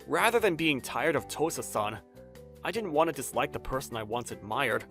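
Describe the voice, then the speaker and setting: A man's voice: medium pitch, expressive, normal speed, a bit bright, soft background music. A man voicing a stubborn, earnest young designer who narrates a manga dub and swings from reflective to exasperated. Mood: reflective narration. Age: young adult. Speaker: narrator and lead character. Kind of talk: anime-style manga dub, dramatized first-person narration.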